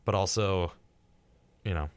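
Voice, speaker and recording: A man's voice: sits low, measured pace, smooth, no echo. A man co-hosting a casual podcast discussion, reading out facts in a dry, dismissive way. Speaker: podcast co-host.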